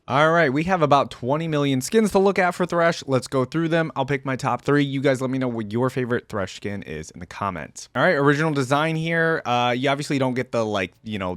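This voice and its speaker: A man's voice: mid-range voice, dry recording, studio quality. A man hosting a reaction video, giving casual, informal and exclamatory commentary.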